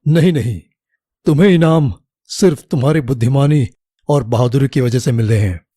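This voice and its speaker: A man playing a king in an animated children's story, speaking in a commanding, deliberate way as he tests his minister. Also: medium pitch, slightly rough, dry recording, clean studio sound, close to an everyday voice. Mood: approving. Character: male king, approving yet slyly testing his minister.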